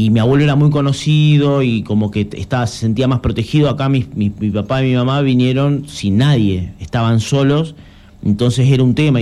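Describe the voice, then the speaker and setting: A man's voice: mid-range voice, warm tone. A young adult man with an Argentine Spanish accent, a journalist guest explaining things earnestly with frequent restarts. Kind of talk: conversational interview.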